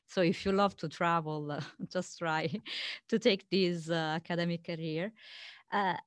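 A woman's voice: on the low side, fairly even intonation, measured pace, clear, resonant tone, no room sound, clean studio sound. A woman interviewing a guest, asking long questions and offering frequent supportive affirmations. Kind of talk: conversational interview.

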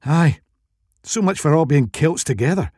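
A man's voice: on the high side, animated, normal speed, darkish tone, no echo, studio quality, voice pushed up. A man voicing a curt, exasperated young woman in an audio story. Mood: curt, fed up. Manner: curt, huffy.

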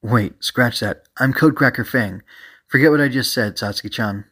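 A man's voice: mid-range voice, moderate pace, a bit bright, pitched above the performer's own voice, lightly acted. Man playing a cheeky young male hacker. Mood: breezy.

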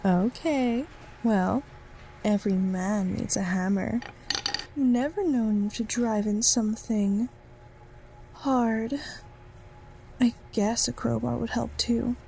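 Casting: actress as teasing female shopkeeper for audio drama, mellow and unhurried, full of innuendo. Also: on the high side, steady tone, gravelly, slight background noise, poor audio. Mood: flirtatious, teasing. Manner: slow, lingering, innuendo-laden delivery. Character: flirtatious, suggestive female general store keeper, teasing and sultry.

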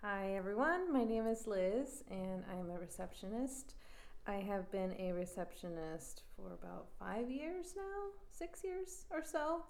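A woman's voice: mid-range voice, animated, unhurried, creaky, darkish tone. Woman receptionist from California, easygoing interview guest, echoey room. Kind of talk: casual interview.

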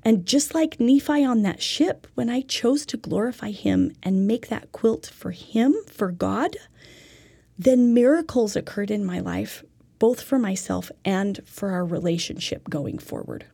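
A woman's voice: medium pitch, expressive, unhurried, gravelly, dark tone, slightly rough, dry recording. A woman telling a personal faith story as part of a religious lesson, in an earnest, reflective way. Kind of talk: personal testimony told as a teaching story.

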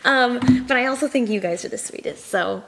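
Creaky-voiced woman, chatty podcast guest. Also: a little high, a little fast, sharp tone, slight background noise. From born in California, grew up in several countries. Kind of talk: casual chat.

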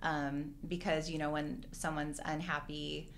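Middle-aged woman from hawaii, low voice, lots of "like", chatty guest on a podcast. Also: level tone, a little slow, a little hiss or hum. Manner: chatty and earnest, many "like"s and "you know"s. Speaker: podcast guest, real estate agent. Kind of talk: casual interview chat.

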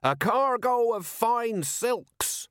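A man's voice: extremely high pitch, expressive, unhurried, clear, resonant tone, dry recording, clean studio sound, pitched above the performer's own voice. A man voicing a blustering, shifty merchant sea captain in an audiobook. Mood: indignant. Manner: loud, indignant, prone to stammering when challenged.

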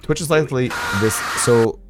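A man with a raspy, hoarse voice chatting casually with his livestream viewers, rambling, sarcastic and swearing as he reads figures aloud. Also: medium pitch, normal speed, over music, rough recording. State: hoarse, sore voice.

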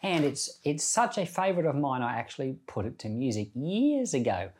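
A middle-aged man giving Bible reading and devotional commentary in an earnest, conversational way with deliberate phrasing. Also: medium pitch, big pitch swings, a little mellow.

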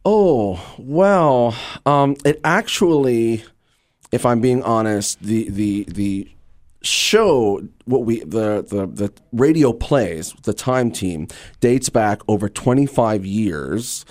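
A man with a mid-range voice talks as a guest in an interview. He is an animated storyteller, conversational and enthusiastic, and sounds like an actor explaining his own work. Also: medium pitch, slow. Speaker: interview guest, actor and radio drama creator.

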